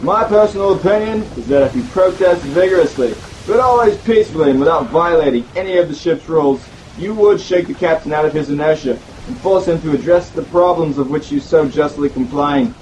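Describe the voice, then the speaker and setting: A man's voice: fairly high pitch, animated, brisk, few pauses, a little mellow, a little hiss or hum, voice pushed up, rough recording. A man voicing an oily, placating ship's officer. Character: smooth, insincere male ship's officer.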